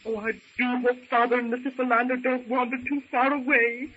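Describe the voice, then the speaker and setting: A woman's voice: fairly high pitch, level tone, brisk, fairly bright tone, slightly rough. A woman acting a genteel, anxious young lady castaway in an old-time radio adventure serial, speaking with earnest, polite theatricality. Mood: worried. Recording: dull, hissy old radio recording.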